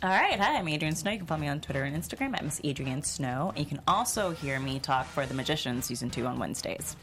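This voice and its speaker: Female panelist, low voice with heavy vocal fry, casual delivery. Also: animated, fast talker.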